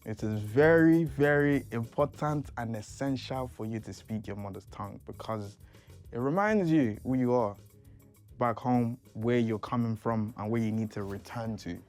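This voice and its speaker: A man's voice: mid-range voice, moderate pace, darkish tone. Laid-back man over faint music. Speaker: documentary interviewee. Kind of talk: casual interview talk.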